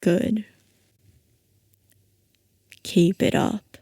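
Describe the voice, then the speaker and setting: A woman playing a playful, possessive scientist boss who dotes on her assistant, in a soft, coaxing ASMR roleplay. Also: very slow, no echo, studio quality. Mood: sly, coaxing. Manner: soft, coaxing, affectionate villainy.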